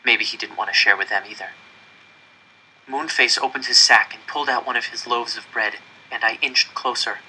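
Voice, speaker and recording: A man narrates a story aloud with a very bright, forceful voice at a medium pitch. His delivery is earnest and suspenseful, shaped as dramatic first-person narration. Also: fairly even intonation, moderate pace, a little hiss or hum, rough recording. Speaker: audiobook-style reader.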